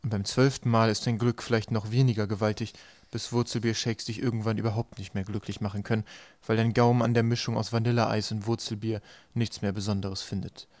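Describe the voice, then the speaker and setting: A man's voice: lowish voice, little pitch movement, brisk, darkish tone, no echo. Dry-sounding male storybook narrator. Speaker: audiobook narrator.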